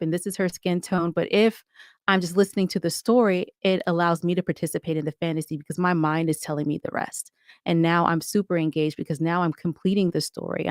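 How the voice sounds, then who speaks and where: A woman's voice: on the low side, darkish tone, clear, resonant tone, no echo, clean studio sound. A woman giving talkative, explanatory answers as a guest in a conversational podcast interview. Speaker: podcast guest, audio drama creator.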